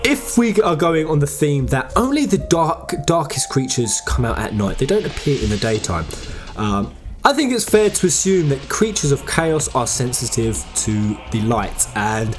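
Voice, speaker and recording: A man's voice: mid-range voice, sing-song, moderate pace, music in the background. A man giving enthusiastic, conversational game commentary, speculating with emphatic delivery. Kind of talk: enthusiastic fan theory commentary.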